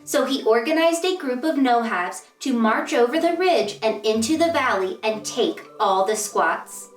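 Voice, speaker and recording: A woman with a fairly high voice reads a children's storybook aloud in an expressive, deliberate way. She sounds a little distant from the microphone, in a roomy space with some echo. Music plays along behind her. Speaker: host of a children's read-aloud show.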